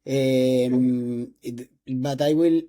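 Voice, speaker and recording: A man in his twenties from Spain, speaking English with a Spanish accent as a non-native speaker, hosting an interview with halting restarts and frequent throat clearing. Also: mid-range voice, steady tone, dark tone. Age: 20s. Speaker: host interviewing a doctor. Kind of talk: casual interview talk.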